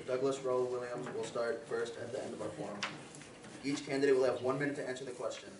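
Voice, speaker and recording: A man moderating a community forum, reading out the rules in an even, procedural way. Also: mid-range voice. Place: community meeting room. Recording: roomy, distant, faint room noise.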